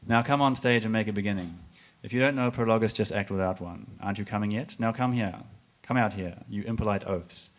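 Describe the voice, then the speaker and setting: A man reading the part of a scornful male prologue speaker in a live stage comedy, loud and theatrical, scolding and mocking. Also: fairly low pitch, fast talker, hardly any pauses, natural voice. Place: live performance space with audience.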